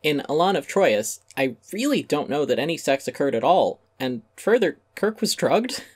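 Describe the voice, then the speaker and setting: A man's voice: on the high side, sing-song, moderate pace, breathy edge, no echo. A man giving a dramatic reading of a critical essay, wry and articulate with theatrical emphasis. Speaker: essay narrator.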